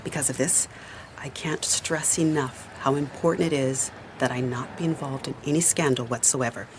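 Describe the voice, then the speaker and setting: Formal, anxious businesswoman, low-voiced. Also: steady tone, normal speed, noisy background. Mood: guarded, formal. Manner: formal, precise. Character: proud, anxious businesswoman confiding in a detective. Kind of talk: radio drama acting.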